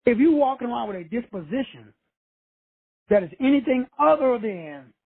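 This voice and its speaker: A man's voice: extremely high pitch, expressive, normal speed, breathy edge, dry recording. A man from Texas hosting a call-in show, preaching profane motivation with swagger and slang. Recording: tinny phone line, dry.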